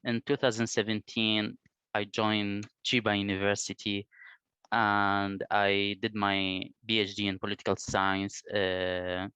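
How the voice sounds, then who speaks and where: Slow, hesitant Iraqi man, smooth voice. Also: on the low side, completely flat delivery, bright, forceful tone, dry recording. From Iraq. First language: Arabic. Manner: slow, hesitant, many fillers. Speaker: researcher, interview guest. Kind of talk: interview answers.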